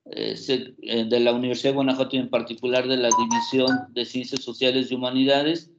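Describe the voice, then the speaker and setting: A man's voice: mid-range voice, level tone, brisk, lo-fi sound, loud music behind the voice. A man moderating a university event, formally introducing a speaker and handing over the microphone, with frequent fillers.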